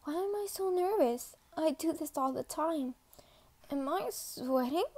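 Woman voicing an emotional, high-voiced young female cadet. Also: expressive, measured pace, darkish tone. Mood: nervous. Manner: stammering when flustered, openly emotional. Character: defiant, mouthy young female cadet, small and flustered.

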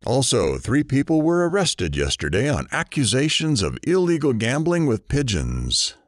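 A man's voice: mid-range voice, huge pitch swings, normal speed, no echo. A man playing a weary, self-mocking morning radio host in an audio drama, with a dry, sardonic delivery. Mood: dry, exasperated. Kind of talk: scripted audio drama broadcast.

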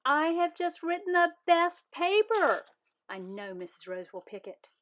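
A woman voicing a boastful, excitable little girl rabbit from a storybook, with a dramatic, gushing, huffy manner. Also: very high voice, a little slow, slight background noise, pitched above the performer's own voice, exaggerated voice. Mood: proud.

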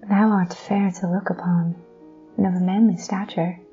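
A woman playing a forthright, lonely princess in an audio drama, speaking archaic storybook English in a breathy voice. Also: lowish voice, little pitch movement, moderate pace, dark tone, muffled recording, soft background music. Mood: admiring.

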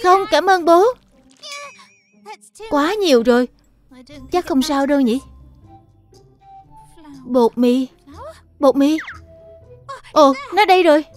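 Woman voicing an eager, high-pitched little girl rabbit. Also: slow, pauses often, soft background music. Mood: busy, muttering. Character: eager, sweet little girl rabbit who loves baking. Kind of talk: Vietnamese cartoon dubbing.

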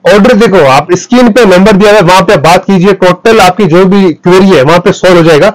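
A man in his thirties giving an emphatic, boastful sales pitch as a wholesale clothing seller. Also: fairly quick, low-quality recording. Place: small clothing wholesale shop, indoors. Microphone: clip-on lapel mic.

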